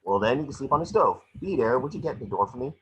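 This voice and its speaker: A man playing a small, cocky, wisecracking mouse detective in a table read, with a rough-edged husky voice. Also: medium pitch, lively intonation, muffled recording, a little hiss or hum, natural voice. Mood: wry, cocky. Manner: fast-talking wisecracking patter. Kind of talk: animated script table read.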